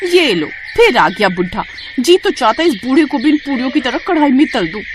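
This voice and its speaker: A woman voicing a spiteful, scheming stallholder's wife in an animated story, jealous and scornful. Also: high voice, brisk, music in the background, voice pushed up. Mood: bitter. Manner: jealous, scornful, sharp-tongued. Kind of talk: animated moral story acting.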